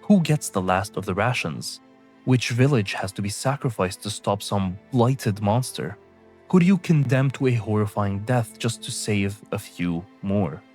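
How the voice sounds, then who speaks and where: A young man from Syria narrates a scripted video essay in a very low voice. His delivery is measured and solemn. Faint music plays beneath him. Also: animated, a little mellow.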